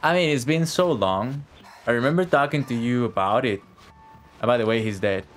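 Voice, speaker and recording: A man's voice: mid-range voice, normal speed, slight background noise. A man streaming a game with casual, rambling live commentary full of slang and swearing. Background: faint game music.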